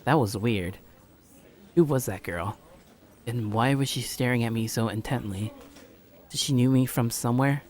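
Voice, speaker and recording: A man's voice: mid-range voice, moderate pace, pauses often, a little mellow. A man in a casual let's play style, reading a visual novel aloud informally and stumbling now and then. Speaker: gaming streamer.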